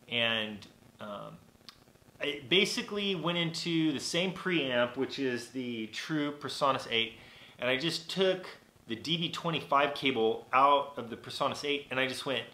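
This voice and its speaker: A man in his forties talks loosely to his live-stream viewers, full of ums and restarts. His nasal voice has a bright, forward edge. The room around him rings a little. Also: medium pitch, echoey room. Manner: rambling, relaxed, many fillers and restarts. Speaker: vlogger and mixing engineer. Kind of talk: casual live-stream chat. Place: small home recording studio. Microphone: camera on desk.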